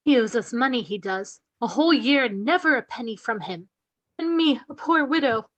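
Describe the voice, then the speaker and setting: A woman's voice: fairly high pitch, expressive, a bit bright, poor audio. Feisty widowed mother, played by a woman. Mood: indignant. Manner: brisk-minded, scolding, determined. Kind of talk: radio play reading.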